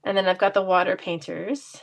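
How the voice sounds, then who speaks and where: A woman's voice: medium pitch, level tone, a little fast, vocal fry. A woman with a stuffy cold voice, chatting casually and laughing lightly as she demonstrates card making in her basement craft room. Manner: chatty, laughs often, self-deprecating.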